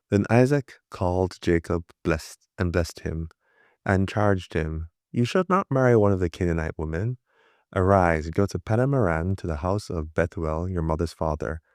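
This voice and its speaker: A man reading scripture aloud in a measured, deliberate way. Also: low voice, darkish tone, dry recording, clean studio sound. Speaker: Bible reader.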